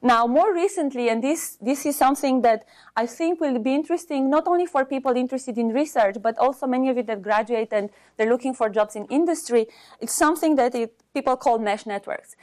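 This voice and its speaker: A woman with a Romanian accent giving an unscripted academic seminar talk as a university researcher, halting as she searches for words. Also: sits high, darkish tone.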